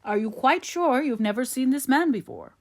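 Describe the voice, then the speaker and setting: A woman voicing a composed, authoritative military gentleman in an audiobook, measured and dry yet kindly. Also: high-pitched, animated, voice pushed up. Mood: gentle, probing. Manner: measured, reassuring, questioning.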